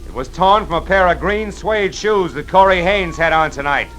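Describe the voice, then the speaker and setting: An actor playing a tough, steady private detective in 1940s radio style, clipped and commanding, narrating and talking in character. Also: male voice, high-pitched, moderate pace, slight background noise. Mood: persuading. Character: tough, steady male private detective, hardboiled but decent. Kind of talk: 1940s radio acting with first-person narration. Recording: old radio drama recording with music.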